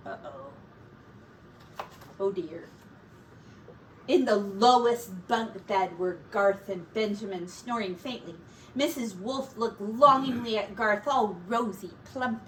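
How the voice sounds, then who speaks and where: A woman teacher reading a children's story aloud with expressive, deliberate dramatic emphasis. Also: a little high. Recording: distant mic with faint room noise.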